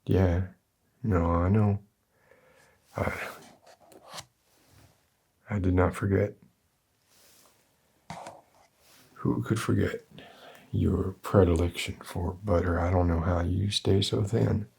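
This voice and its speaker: Male performer as gruff, weary middle-aged survivor dad, drawl, very low and husky, soft ASMR roleplay delivery. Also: fairly even intonation, heavily acted. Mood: soft, coaxing. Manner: slow, halting, gentle drawl.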